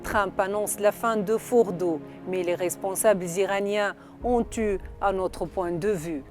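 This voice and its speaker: A woman's voice: medium pitch, fairly even intonation, unhurried. Female news narrator over music. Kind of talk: scripted news report narration. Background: background music.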